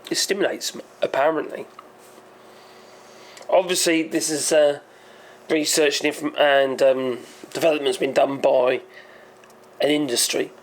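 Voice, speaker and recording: A hoarse man hosting his own channel, explaining a topic in a rambling, hesitant way and often correcting himself. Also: fairly high pitch, fairly even intonation, measured pace, pauses often, a little hiss or hum. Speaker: channel host. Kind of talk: casual explainer monologue.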